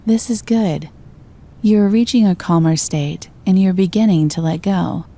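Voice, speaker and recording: A woman gently guiding a meditation, giving slow, soothing instructions. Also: fairly low pitch. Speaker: meditation guide. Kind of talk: guided meditation.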